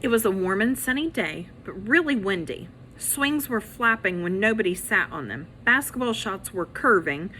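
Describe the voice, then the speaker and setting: Woman, bright crisp delivery, expressive storybook read-aloud, animated teacher manner, faint background noise. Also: medium pitch, moderate pace, hardly any pauses, rough recording. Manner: animated, acts out characters' lines.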